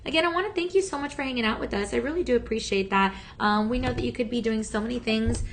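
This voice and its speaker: A woman hosting a shopping channel, chatty and encouraging, with a slightly raspy voice that is still healing from talking so much. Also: a little high, quick pace, slight background noise. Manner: chatty, upbeat and encouraging, many fillers. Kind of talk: live TV sales pitch. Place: TV studio.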